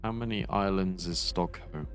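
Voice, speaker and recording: A man's voice: low-pitched, moderate pace, soft background music. A man commentating a competitive game and chatting with a co-caster, often repeating words as he thinks aloud. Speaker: esports commentator. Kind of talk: live game commentary and casual chat.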